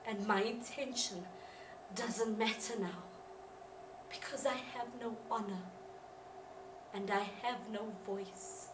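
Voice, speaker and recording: An actress playing a wronged, anguished young woman who speaks after her own killing, in a solemn monologue of deliberate, halting phrases. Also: mid-range voice, fairly even intonation, pitched below the performer's own voice, close to an everyday voice. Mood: bitter, grieving. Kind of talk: solemn dramatic stage monologue. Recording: roomy, distant mic, faint noise.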